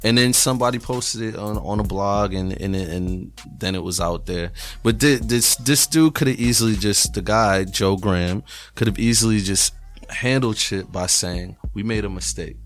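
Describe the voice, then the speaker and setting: A man's voice: on the low side, moderate pace. A man with an American accent speaking casually and candidly as a podcast guest, emphatic and frustrated in his delivery. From New England, United States. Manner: conversational, frustrated, emphatic, swearing. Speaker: podcast guest, hip hop artist.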